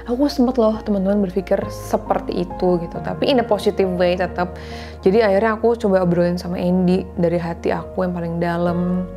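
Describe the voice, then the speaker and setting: A woman in her thirties speaks in a mellow, warm voice, earnest and confiding as she addresses her viewers directly. Her talk is casual and sprinkled with English words. Soft music plays faintly behind her. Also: on the low side, fairly even intonation, brisk. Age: 30s. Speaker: vlogger sharing faith and marriage advice.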